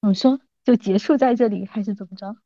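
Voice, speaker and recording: A woman's voice: medium pitch, brisk, warm tone, dry recording, muffled recording. A woman in her thirties chatting casually as a guest, joking in a playful, mock-dramatic way. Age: 30s. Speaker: podcast guest. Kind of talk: casual chat between old friends.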